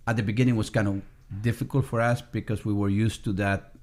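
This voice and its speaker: A man from El Salvador, Spanish native, with a Salvadoran accent, talking as a guest in a casual interview, halting with many fillers. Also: on the low side, fairly even intonation, a little mellow. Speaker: restaurant owner guest.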